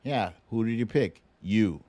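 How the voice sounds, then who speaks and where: A man's voice: on the low side, expressive, a little slow, warm tone. A man hosting a casual livestream, chatting through news and viewer comments in a wry, teasing, colloquial way. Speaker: livestream show host.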